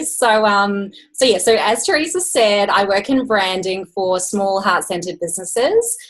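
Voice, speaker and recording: Brief: mid-pitched woman, hesitant self-introduction, video call. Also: low-quality recording. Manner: nervous, hesitant with many ums. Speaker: branding designer.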